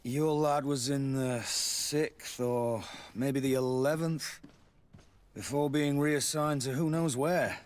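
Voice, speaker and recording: A man's voice: mid-range voice, steady tone, slow, darkish tone, natural voice. Man playing a salty, colourful barracks old hand. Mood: rueful, grumbling. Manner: colloquial, exclaiming. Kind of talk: dramatic video game acting.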